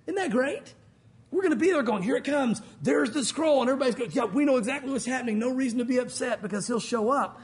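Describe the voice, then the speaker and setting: A male pastor with a loud voice, preaching in a conversational, emphatic way. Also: very high-pitched, quick pace. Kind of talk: sermon.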